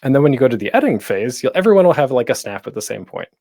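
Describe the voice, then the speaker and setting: Animated man presenting casually. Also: mid-range voice, racing, gravelly, no echo, clean studio sound. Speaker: panel host and podcasting instructor. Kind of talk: casual informal online tutorial talk.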